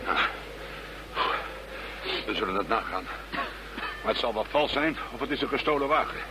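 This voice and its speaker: An actor playing a gruff, sardonic investigator who leads a case in a radio play. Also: male voice, medium pitch, animated, normal speed, natural voice. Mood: tense, serious. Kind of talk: scripted radio play acting. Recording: faint background hum and noise.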